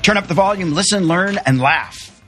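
American guy hosting a podcast interview, voice a bit on the high side. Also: moderate pace, pushed and bright, faint music behind. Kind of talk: podcast introduction and interview chat.

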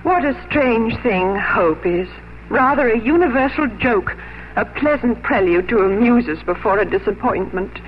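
An actress playing a wistful, hopeful English gentlewoman who longs for a lost love, in 1950s radio drama style. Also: fairly high pitch, animated, normal speed, close to an everyday voice. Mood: wistful regret. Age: young adult. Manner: earnest, wistful, literary phrasing. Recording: dull old radio broadcast with music.

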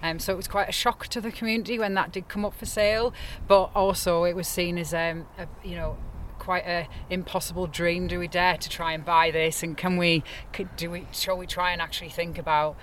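A woman's voice: on the low side, fairly quick, slight background noise. Woman from north-east England explaining, outdoors. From Sunderland, north-east England. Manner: steady, explanatory, many fillers and restarts. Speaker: nature reserve estate manager. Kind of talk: outdoor interview answers. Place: open moorland outdoors.

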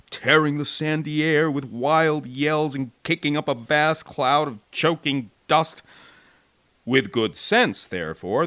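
An American man narrating a war memoir as an audiobook, with an expressive, wry, folksy storytelling delivery. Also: fairly high pitch, dry recording. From United States.

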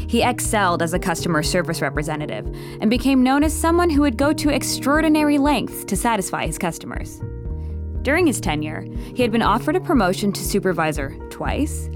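A woman's voice: mid-range voice, close to an everyday voice. A woman performing composed, wry scripted narration as the storyteller of a comedy audio drama. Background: music.

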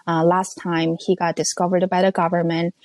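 Guest, woman, 30s, North Korean accent, Korean native speaker; measured, careful delivery over a phone line, low register, dry sound. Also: level tone, darkish tone, no room sound. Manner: measured, careful, with frequent pauses and fillers. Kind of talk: personal testimony interview. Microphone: phone call.

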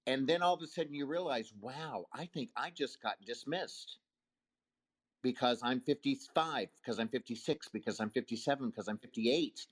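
A man in his fifties, a talk show host, speaking in an animated storytelling way in a casual conversation. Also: mid-range voice, moderate pace. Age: 50s.

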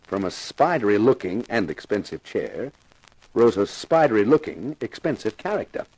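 A hardboiled American detective in a 1950s radio mystery. His delivery is dry and wisecracking but very varied in pitch, wry and flirtatious, recorded close and dry. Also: sing-song, moderate pace, a little mellow, no room sound, low-quality recording. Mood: wry narrating. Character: wry, flirtatious hardboiled male TV sleuth narrator.

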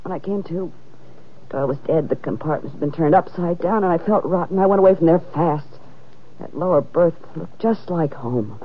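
An actress playing a brash, world-weary schemer who talks in sardonic slang, in an old-time radio crime drama. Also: female voice, on the low side, moderate pace, slight background noise, lightly acted. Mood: grudging confession. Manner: sardonic, slangy tough-dame talk. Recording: muffled old radio recording.

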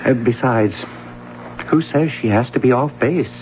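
An American actor, a man, playing an anxious, placating middle-aged husband in a 1970s radio drama, halting and apologetic. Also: mid-range voice, natural voice. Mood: apologetic, uneasy. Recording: dull, noisy old radio broadcast.

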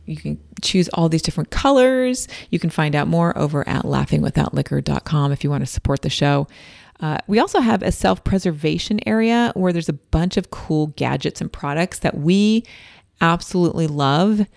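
A woman's voice: deep voice, animated, normal speed, vocal fry, darkish tone, no room sound, studio quality. A woman hosting a podcast in upbeat, playful casual chat, interviewing a guest. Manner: upbeat, playful, plugs merch. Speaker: podcast host.

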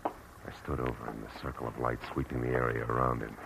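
A man's voice: very deep voice, little pitch movement, a little fast, gravelly. An American man playing a hard-boiled Los Angeles private detective in a radio drama, with clipped, commanding, world-weary speech. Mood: grim, watchful. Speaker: lead actor and first-person narrator. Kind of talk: 1940s radio detective acting and narration. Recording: noisy old-time radio recording.

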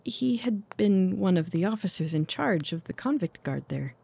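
A woman's voice: mid-range voice, lively intonation, normal speed, vocal fry, dark tone, husky, dry recording. A woman playing a composed but anxious young Victorian lady in an audio drama, speaking formally and earnestly. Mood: composed, factual.

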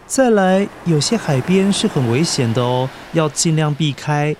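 A man's voice: a little high, expressive, measured pace, darkish tone, slight background noise. A man with a Taiwanese Mandarin accent hosting a children's story podcast, explaining things simply and coaxingly to young listeners.

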